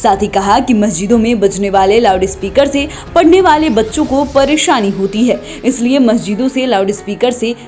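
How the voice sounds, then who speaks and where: A woman delivers a scripted news report in a fairly high voice. She reads as a reporter narrating a voice-over, with light music playing underneath. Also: animated, normal speed, hardly any pauses, mellow. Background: background music.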